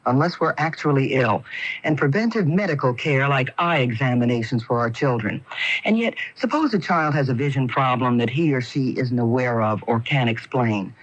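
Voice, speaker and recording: A man's voice: medium pitch, dry recording. A man reading a scripted public service radio announcement in a plain, informative manner. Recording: dull lo-fi radio broadcast.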